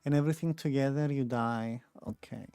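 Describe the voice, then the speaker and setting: A woman giving casual gameplay commentary as a streamer, with a creaky voice and music behind her. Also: a bass voice, brisk, warm tone, no echo.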